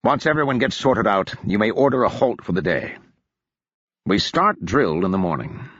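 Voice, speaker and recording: A man's voice: on the low side, animated, moderate pace, fairly bright tone, slightly rough, no room sound, highs cut off. A man voicing a wry, good-humored commanding officer who speaks with rueful amusement in an audiobook.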